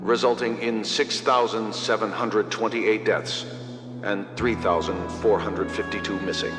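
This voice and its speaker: A man's voice: on the low side, steady tone, measured pace, close to an everyday voice. A man playing a formal, authoritative news broadcaster reading a scripted bulletin in a video game. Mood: formal, grave. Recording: echoing reverb, loud music behind.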